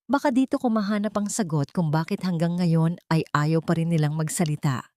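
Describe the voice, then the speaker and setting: A suspicious husband speaks coldly, keeping his fear hidden, voiced by a woman narrator. The voice is low and steady. The recording is dry, with no room sound. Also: normal speed, dry recording, natural voice. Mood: guarded. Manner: cold, guarded and controlled. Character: tense, suspicious husband and father.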